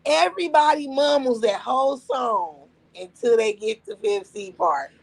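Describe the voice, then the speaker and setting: Husky, gravelly woman ranting about rap. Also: sits high, expressive, measured pace, low-quality recording. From Las Vegas area. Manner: blunt, profane, emphatic storytelling. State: losing her voice. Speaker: podcast co-host. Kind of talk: casual chat.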